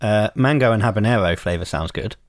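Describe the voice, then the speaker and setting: A man co-hosting a casual podcast, jokey and quick to react. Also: fairly low pitch, no echo, clean studio sound. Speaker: podcast co-host.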